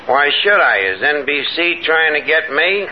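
Man playing high-voiced bluffing tavern guy. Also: animated, moderate pace, close to an everyday voice. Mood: nervous bluffing. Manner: mangled pompous wording, hesitant bluffing. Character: evasive, bluffing, uneducated tavern manager, adult man. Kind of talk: 1950s radio comedy acting. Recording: noisy, muffled 1950s radio recording.

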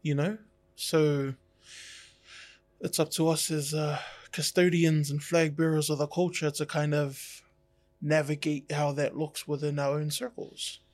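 A man's voice: fairly high pitch, little pitch movement, a little slow, a little mellow, dry recording. A man, a tattoo artist whose first language is Tongan, talking in an easygoing, reflective way with jokey asides on a casual podcast.

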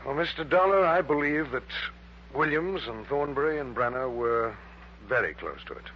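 An earnest, troubled male scientist, played by an actor in an old radio drama. His medium-pitched voice rises and falls a lot, hesitant yet emphatic, on a dull recording with hum. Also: lively intonation, normal speed, close to an everyday voice. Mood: earnest, discouraged. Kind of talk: 1960s radio drama acting. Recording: dull, humming early-1960s radio recording.

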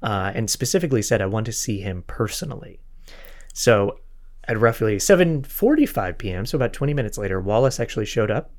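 A man's voice: on the low side, expressive, a little fast, darkish tone. A man hosting a podcast, retelling a historical mystery in an informal, conversational way with joking asides and self-corrections. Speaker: podcast host telling a true crime case.

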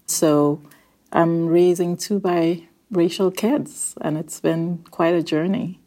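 A woman's voice: lowish voice, fairly even intonation, slow pace, dark tone. A woman from Nigeria speaking as a podcast guest, friendly and enthusiastic. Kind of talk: interview conversation.

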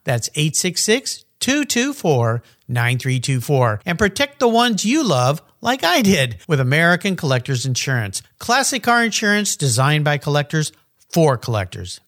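A man's voice: fairly high pitch, big pitch swings, normal speed, fairly bright tone. A man hosting a car podcast, upbeat and enthusiastic, introducing guests and reading ads. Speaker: podcast host. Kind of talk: podcast interview and ad reads.

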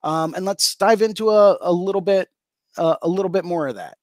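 An upbeat man hosts an interview show, reading sponsor shout-outs and welcoming his guest with plenty of ums and uhs. His voice sits a bit high for a man. The sound is dry and close, with no room echo. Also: a little fast. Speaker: podcast host.

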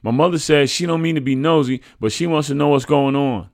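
A man's voice: on the high side, a little fast, dry recording, studio quality, pitched above the performer's own voice, close to an everyday voice. A man voicing an earnest, polite nine-year-old boy with simple childlike phrasing, in a narrated story.

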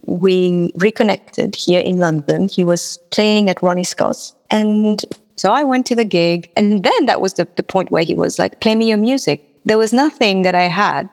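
A woman from Mauritius, a native speaker of French and Creole, telling personal stories as a guest musician in a halting, conversational way, often repeating her words. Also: medium pitch, gravelly, a little mellow. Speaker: guest musician and singer-songwriter. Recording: long reverberant hall sound.

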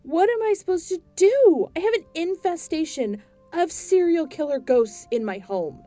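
A fiery young homeowner yells and swears at everyone around her, as a woman narrator acts her out. Her voice stays mellow even when loud. Soft music plays under the story. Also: very high-pitched, normal speed, voice pushed up. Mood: furious. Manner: shouting, swearing.

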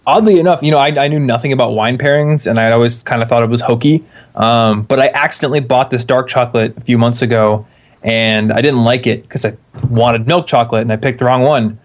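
A man's voice: medium pitch, a little fast. A man hosting a money podcast in casual chat, upbeat, laughing often and poking fun at himself. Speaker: podcast host.

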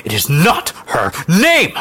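A man's voice: fairly low pitch, level tone, quick pace, fairly bright tone. A man voicing a stern, condescending lady of the house, an angry mother who gives commands. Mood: furious.

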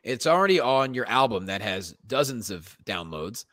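A man's voice: mid-range voice, very animated, moderate pace, fairly bright tone. A man co-hosting a podcast, chatting and bantering casually. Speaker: podcast co-host.

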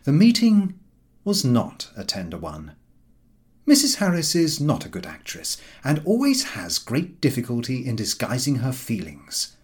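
A man reading a comic novel as scripted narration, in an expressive, theatrical storytelling manner. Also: mid-range voice, a little slow. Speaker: audiobook reader.

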